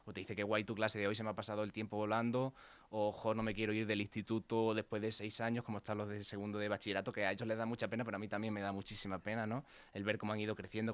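Fast-talking Extremaduran man, low voice. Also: dry recording. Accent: Extremaduran Spanish. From Spain, Extremadura. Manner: earnest, hesitant, repeats words. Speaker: head of studies at a secondary school. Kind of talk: interview answers.

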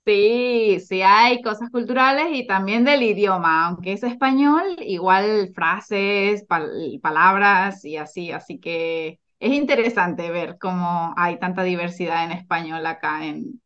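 A woman's voice: medium pitch, a little slow, few pauses, a bit bright. A woman with a Latin American accent chatting casually as a podcast guest, a little hesitant, on slightly dull lo-fi audio. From Venezuela and Chile. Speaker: podcast guest, Spanish teacher. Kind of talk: casual interview chat.

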